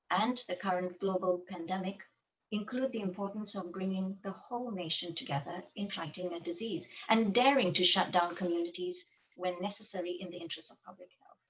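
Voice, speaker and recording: A woman giving a formal, measured self-introduction as an invited speaker. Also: medium pitch, slight background noise. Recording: dull, distant call audio.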